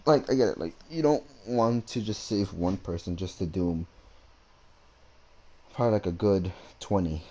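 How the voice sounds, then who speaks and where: A man giving casual gameplay commentary, thinking aloud in a halting way. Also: deep voice, mellow. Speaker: gamer.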